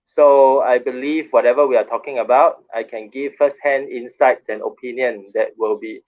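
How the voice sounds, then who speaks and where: Male panel guest, mid-pitched voice, casual introduction, dull video-call audio. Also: fairly even intonation, normal speed. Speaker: panel guest living in Thailand.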